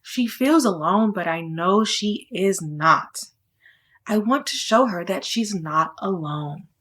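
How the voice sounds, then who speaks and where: A woman voicing a caring auntie in a storybook, softly coaxing and reassuring. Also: medium pitch, lively intonation, takes it slowly. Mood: earnest, concerned. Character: caring, gentle Lakota auntie speaking to her niece.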